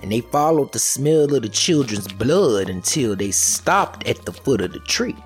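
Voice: mid-range voice, big pitch swings, a little slow. A storyteller retelling a folk tale in a folksy, dramatic way, with music behind. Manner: folksy, dramatic, repetitive chanting delivery.

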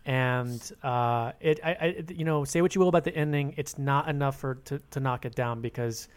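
A man with a mid-pitched voice. He speaks as a panelist in a casual film discussion. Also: moderate pace, clean tone. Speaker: podcast panelist.

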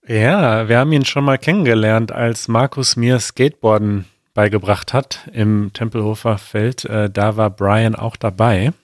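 Lower-voiced man co-host, relaxed. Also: measured pace, few pauses, no echo, clean studio sound. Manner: relaxed, hesitant, searches for words. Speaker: podcast co-host. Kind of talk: casual chat.

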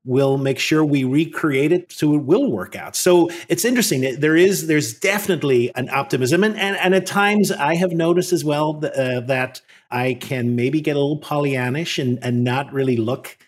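A Northern Irish man co-hosting a podcast with a slightly husky, somewhat high voice. He talks in an earnest, reflective way and doubles back on words as he thinks aloud. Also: normal speed, hardly any pauses. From Northern Ireland. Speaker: podcast co-host. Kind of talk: reflective conversational podcast talk.

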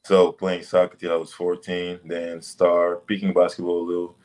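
A young man from Senegal, a basketball prospect, answering interview questions in loose, relaxed phrasing over a video call. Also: sits low, normal speed. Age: young adult. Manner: relaxed, loose phrasing with many "like" and "you know".

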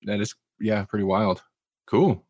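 A man's voice: on the low side, steady tone, a little slow, darkish tone, dry recording. Casual male podcast host, close dry sound.

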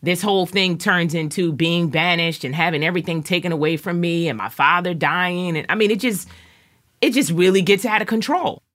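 A woman's voice: fairly low pitch, a little fast, fairly bright tone. A woman, an actor, talking casually in an interview.

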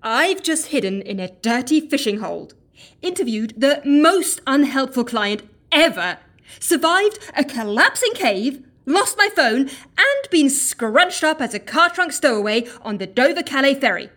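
A high female voice that takes its time, a weary field agent. She is dry-witted, emphatic and exasperated, in audio drama style. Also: sing-song, unhurried, fairly bright tone, exaggerated voice. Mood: weary, indignant.

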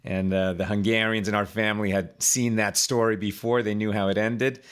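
A man's voice: on the low side, level tone, moderate pace, fairly bright tone, smooth. A man in his fifties from Chile, a podcast guest telling his life story in a loose, conversational way, full of fillers and repeated words. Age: 50s.

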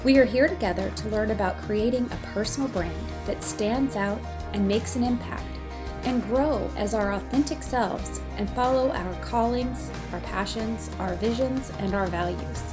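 A woman hosting a podcast, reading a guest introduction and then chatting eagerly with her guest. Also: a little high. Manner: upbeat, eager. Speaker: podcast host. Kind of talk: scripted intro then casual interview.

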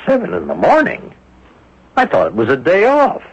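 A man's voice: on the high side, wildly swooping pitch, moderate pace, very noisy. An actor playing a skeptical, folksy middle-aged police inspector in a 1970s radio drama, muttering and hesitating as he goes. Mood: puzzled, curious. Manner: hesitant, muttering, full of hems and haws. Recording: dull lo-fi old radio recording.

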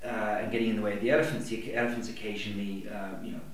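A man's voice: lowish voice, little pitch movement, rapid-fire, distant mic. A man from Kenya chatting as a podcast guest, telling stories in a laid-back way. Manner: laid-back, anecdotal, says "yeah" a lot. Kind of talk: casual chat, storytelling. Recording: echoey room, off-mic.

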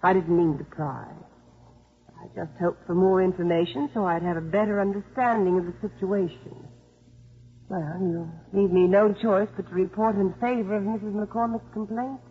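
An actress playing a prim, earnest official with a formal, reproving manner in a 1950s radio drama. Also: female voice, medium pitch, steady tone, normal speed, husky. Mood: stiff, disapproving. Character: prim, earnest official Miss Singleton, disapproving. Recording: thin, muffled 1950s radio broadcast.

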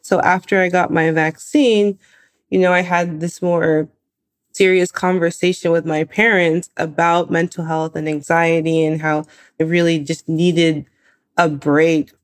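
A woman in her twenties from California, telling her own story in a lively, animated way. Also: on the low side, unhurried. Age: 20s. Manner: lively, animated retelling with laughs. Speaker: guest. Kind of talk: personal storytelling.

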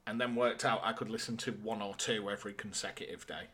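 Bright-voiced man co-hosting a podcast. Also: on the low side, fairly even intonation, fast, husky. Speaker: podcast co-host. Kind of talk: casual chat.

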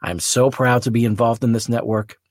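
A man hosting a podcast, reflecting alone in an earnest, measured way with pauses between short phrases. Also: medium pitch, fairly even intonation, no room sound, studio quality. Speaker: podcast host. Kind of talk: solo reflective podcast talk.

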